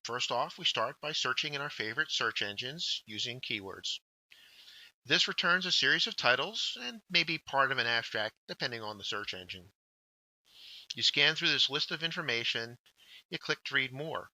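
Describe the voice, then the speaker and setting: A man presenting a scripted instructional workshop, with deliberate, emphatic phrasing. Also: medium pitch, lively intonation, pushed and bright, dry recording, low-quality recording. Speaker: workshop presenter, journal editor.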